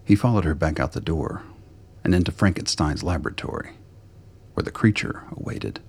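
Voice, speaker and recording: A man's voice: deep voice, normal speed, darkish tone, a little hiss or hum. A man narrating a scripted radio drama.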